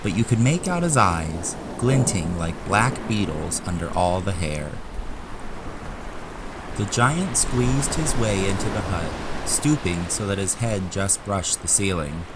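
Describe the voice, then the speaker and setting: A man's voice: sits low, expressive, a little slow, very noisy. A man reading scripted narration for an audio drama, as the storyteller.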